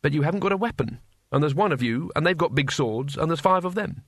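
A man's voice: on the high side, animated, fast talker, slightly rough, no echo, clean studio sound. A man voicing a nervous, bewildered wizard in a comic fantasy audiobook, asking anxious, hesitant questions. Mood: anxious protest. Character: nervous, bewildered male wizard, a reluctant hero.

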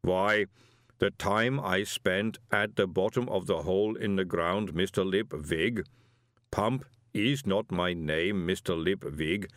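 A man voicing an ancient, huge golem servant in an audiobook, with a rumbling voice and a placid, formal, deliberate manner. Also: a bit bright, no room sound, clean studio sound. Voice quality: deep rumbling.

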